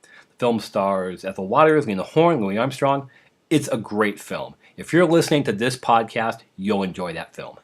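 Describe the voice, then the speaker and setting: A man's voice: on the low side, animated, moderate pace. A man hosting a podcast, introducing old radio programs in an informal, prepared style. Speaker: podcast host.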